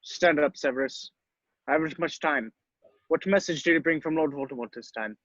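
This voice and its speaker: A man playing a grave, shrewd old wizard headmaster in a screenplay table read, speaking in a measured, deliberate way. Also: fairly high pitch, a bit bright, no room sound, dull audio. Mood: grave, questioning.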